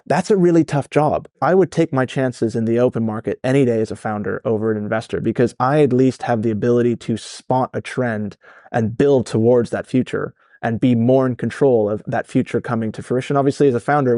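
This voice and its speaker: A man's voice: medium pitch, fairly even intonation, brisk, dark tone, no echo, clean studio sound. A man in his thirties, Dutch-born and raised in California, with an American accent, talking candidly and animatedly in an interview, rambling with fillers. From Netherlands, raised in California. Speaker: startup founder, guest. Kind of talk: casual interview talk.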